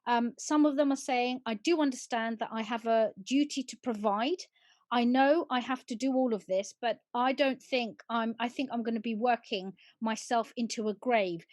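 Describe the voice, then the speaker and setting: Higher-voiced woman hosting a panel. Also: no room sound, clean studio sound. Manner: measured, questioning, quotes comments. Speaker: host. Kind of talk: live panel discussion.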